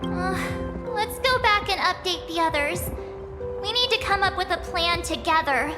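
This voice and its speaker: Super high plucky game girl, easily flustered, big reverb, loud music. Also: lively intonation, a little slow. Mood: anxious. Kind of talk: animated game voice acting.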